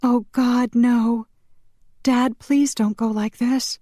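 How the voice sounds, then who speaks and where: Woman acting a high-pitched, frantic, pleading young woman. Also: steady tone, takes it slowly, dark tone, no echo, studio quality. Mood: tearful pleading. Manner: tearful, pleading, indignant. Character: distraught young vampire woman pleading for her dying father.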